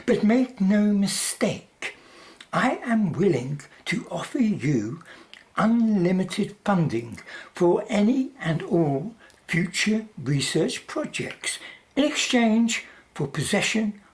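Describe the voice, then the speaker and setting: Radio drama villain: quietly menacing businessman, played by a woman, polite, unhurried, with lively pitch swings. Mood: calculating. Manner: polite, formal, persuasive. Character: suave, calculating male company owner, quietly menacing.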